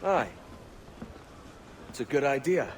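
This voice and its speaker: An actor playing a cocky, ambitious young pirate with a wry, colloquial swagger in a video game. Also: male voice, medium pitch, expressive, takes it slowly, a little hiss or hum. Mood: cocky, scheming. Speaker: pirate captain. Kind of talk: naturalistic video game acting.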